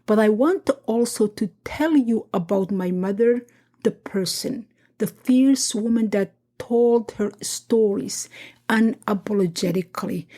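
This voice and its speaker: A middle-aged woman from Somalia, narrating her own family memoir in a reflective, unhurried way. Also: medium pitch, dark tone. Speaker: podcast host and narrator. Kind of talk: personal memoir storytelling.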